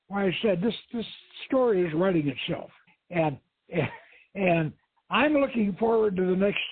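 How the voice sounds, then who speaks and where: Male storyteller-host, high and husky, heard through a narrow phone line with room echo. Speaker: host reading aloud his own novel. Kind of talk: dramatic reading and informal hosting. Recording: thin phone-like radio line, roomy echo.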